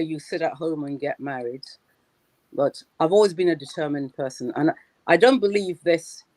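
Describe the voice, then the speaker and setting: A woman's voice: low voice, warm tone, dry recording, poor audio. A woman in her sixties, a lawyer on a discussion panel, speaking in a firm and assertive way. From Britain.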